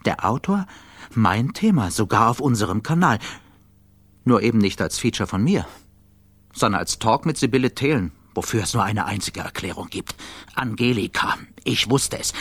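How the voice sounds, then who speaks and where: Radio drama: wry, self-dissecting male journalist, breathy and very raspy, creaky low register. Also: fairly bright tone, dry recording, exaggerated voice. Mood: incredulous, indignant. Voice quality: husky, breathy, gravelly. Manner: wry, self-dissecting, often dropping to a near whisper. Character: self-doubting, bitterly cynical male television journalist, smoker. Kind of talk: radio play interior monologue.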